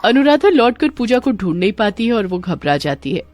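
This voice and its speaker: A woman reads a scripted story as its narrator. Her medium-pitched voice moves up and down in a lively way, with soft music in the background. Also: fairly quick.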